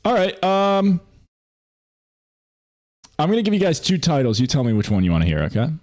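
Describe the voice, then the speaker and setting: A man's voice: fairly high pitch, huge pitch swings, brisk, darkish tone. A man in his twenties co-hosting a podcast, talking in a casual, slangy way with some swearing. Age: 20s. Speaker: podcast co-host. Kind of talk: casual podcast chat with friends.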